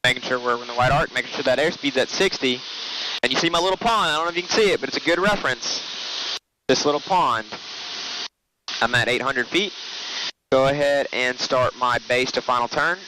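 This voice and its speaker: A man's voice: medium pitch, a little fast. A man giving casual running commentary as a pilot, explaining each step informally from the noisy cockpit of a small airplane. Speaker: pilot narrating flight. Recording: noisy small aircraft cockpit, engine drone.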